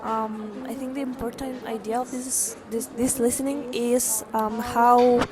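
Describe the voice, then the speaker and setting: A young woman of eighteen speaks for a class video in a somewhat high, level voice. She hesitates often, filling gaps with "um." Voices of other people chatter behind her. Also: flat delivery, unhurried. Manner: hesitant, many um fillers. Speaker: English pedagogy student.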